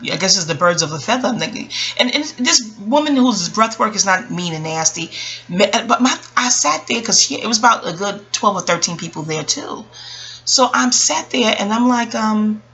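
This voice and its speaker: New woman vlogger with creaky, bright voice. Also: mid-range voice, animated, normal speed. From Queens, New York. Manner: conversational, acts out reported dialogue. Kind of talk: casual storytelling to viewers.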